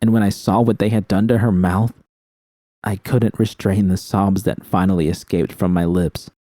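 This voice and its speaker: A man's voice: sits low, fairly even intonation, moderate pace, dark tone, dry recording, studio quality. A man reading first-person fiction as an audiobook narrator, with dramatic storytelling delivery.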